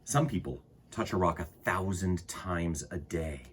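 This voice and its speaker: A man with a husky voice reading aloud to children as a storytime host, expressively, with dramatic emphasis and pauses. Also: deep voice, a bit bright, a little hiss or hum. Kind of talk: animated picture-book read-aloud for children.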